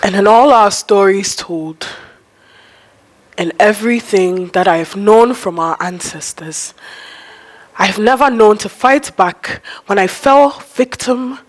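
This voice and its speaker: A woman's voice: medium pitch, takes it slowly. A teenage girl playing a fervent freedom preacher in a theatrical, impassioned monologue. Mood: solemn. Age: teenage student. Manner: declamatory, impassioned. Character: fervent freedom preacher rallying an enslaved people.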